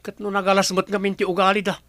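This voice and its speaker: Stingy husband, argumentative, slightly high voice. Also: male voice, completely flat delivery, fast, fairly bright tone, no echo. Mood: annoyed. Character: tight-fisted, argumentative husband and dutiful son. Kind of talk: Ilocano radio drama acting.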